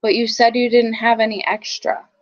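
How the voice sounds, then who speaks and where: A sullen first-grade bully from a storybook who needs a favor, read aloud by a woman. The voice sits a little high, and the recording is dull. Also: flat delivery, normal speed, dry recording, lightly acted. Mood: surprised, subdued.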